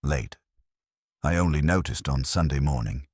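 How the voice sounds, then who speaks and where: A man's voice: rumbling low, normal speed, a little mellow, breathy edge, no room sound, clean studio sound. A man voicing a prim, sniffy, guarded English landlady who talks in clipped fragments in a mystery story. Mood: clipped, matter-of-fact. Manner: clipped, terse fragments, haughty. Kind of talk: dramatised mystery audiobook.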